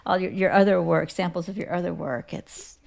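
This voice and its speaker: A woman hosting a conversational podcast interview, appreciative and pausing now and then to find her words. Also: fairly low pitch, steady tone, creaky.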